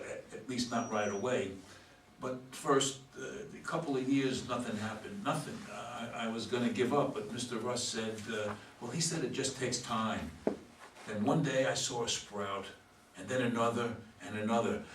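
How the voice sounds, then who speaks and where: A man in a stage play reading, playing a flustered, evasive homeless man with a halting, stammering delivery. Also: mid-range voice, fairly bright tone, close to an everyday voice. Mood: wistful. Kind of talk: stage play read aloud by readers' theater. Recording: echoey room, distant mic, faint noise.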